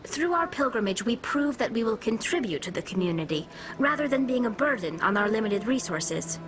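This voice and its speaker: A woman's voice: on the high side, very animated, fairly quick. A woman voicing a young alien pilgrim in a video game, earnest and determined as she explains herself. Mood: earnest, explaining. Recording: music under the voice.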